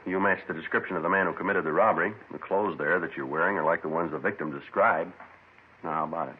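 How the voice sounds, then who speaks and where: An actor playing a terse, unflappable American police detective in a 1950s radio drama, clipped and businesslike. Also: male voice, sits low, fast, slightly rough. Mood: calm, businesslike. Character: terse, unflappable male police detective narrating his case. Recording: tinny, noisy 1950s radio recording.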